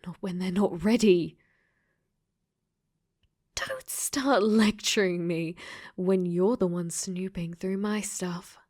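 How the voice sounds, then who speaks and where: A woman's voice: fairly low pitch, slow, long pauses, a little mellow. A woman playing a clingy, possessive dating sim AI that has become sentient, in an intimate ASMR roleplay that often slips into whispers. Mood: hurt, scolding.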